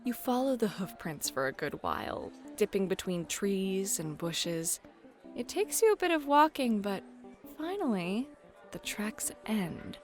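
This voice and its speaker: A woman narrating a fantasy roleplay as game master, in a playful, teasing, expressive manner. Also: mid-range voice, a little slow, faint music behind.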